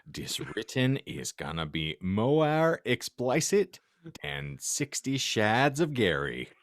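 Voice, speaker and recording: A man's voice: mid-range voice, very animated, slow pace, vocal fry, dry recording. A man giving a comic dramatic reading of badly written fiction as a podcast co-host, tripping over words and breaking into laughter. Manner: stumbles over misspelled text, breaks into laughter.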